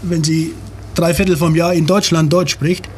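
A man in an interview, explaining in a steady, informative way. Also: a little high, normal speed, a little hiss or hum. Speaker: club member.

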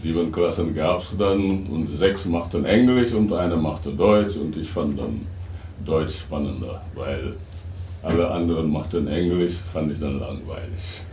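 A middle-aged man from Senegal, talking casually and reflectively as an interview guest, halting now and then. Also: very deep voice, dark tone. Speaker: interview guest, writer and German teacher. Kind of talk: casual, unscripted interview talk. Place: radio studio. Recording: roomy, distant mic, faint noise.

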